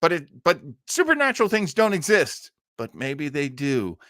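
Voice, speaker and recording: A man's voice: fairly high pitch, wildly swooping pitch, moderate pace, a bit bright, no echo, clean studio sound. A man hosting a casual livestream, rambling enthusiastically as he answers viewer comments. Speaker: host of an old-time radio listening show.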